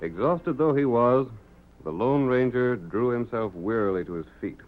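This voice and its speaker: A man narrates an old radio adventure serial with theatrical suspense and formal phrasing. His voice sits at middle pitch. The 1930s recording is muffled, with music behind him. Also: animated, moderate pace. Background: dramatic music.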